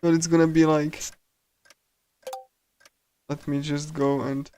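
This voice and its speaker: A man livestreaming a game, casually commenting and reading aloud in a halting way, often repeating himself and stumbling. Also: a little high, flat delivery, dark tone, clear, resonant tone. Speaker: streamer. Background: game music.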